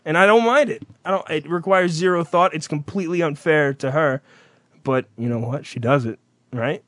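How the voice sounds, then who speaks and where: A man's voice: fairly high pitch, moderate pace, a bit bright, no echo. A man co-hosting a casual talk show, teasing and crude in his banter. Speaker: podcast co-host.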